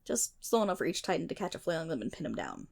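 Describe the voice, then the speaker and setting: A nerdy female best friend, acted by a woman rambles through a story in an ASMR roleplay. Her pitch swings expressively and often drops into a creaky fry. She is wry, irreverent and playfully exasperated with her listener. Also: very animated, quick pace, full character voice. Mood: animated storytelling. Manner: rambling, wry, sarcastic, theatrical asides.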